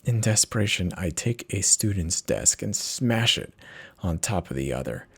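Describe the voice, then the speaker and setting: A man's voice: low voice, sing-song, creaky, fairly bright tone, husky. A man giving a theatrical, intense first-person dramatic reading as a horror story narrator. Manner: theatrical, measured, intense delivery.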